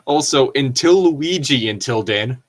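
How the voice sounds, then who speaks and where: A man's voice: medium pitch, lively intonation, unhurried. A man co-hosting a comedic reading, chatting casually with rambling explanations and some mild swearing. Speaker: co-host reading and riffing on fan fiction.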